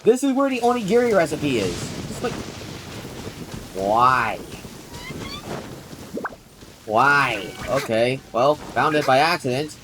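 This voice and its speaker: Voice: mid-range voice, big pitch swings, slow pace, pauses often, background noise. A game streamer giving casual, rambling commentary while playing, often exclaiming in mild bewilderment. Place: indoors, live stream with game music behind the voice.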